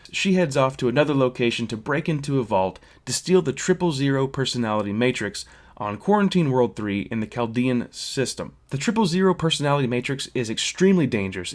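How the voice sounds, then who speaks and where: A man presents a spoiler recap as the host of a fan channel. He speaks at a medium pitch, working through his notes in an explanatory way and dropping in casual asides.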